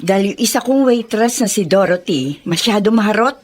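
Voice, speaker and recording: An actress playing a stern, possessive restaurant boss in a Filipino radio drama, frank and authoritative. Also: female voice, mid-range voice, lively intonation, a little fast, darkish tone, slight background noise, close to an everyday voice.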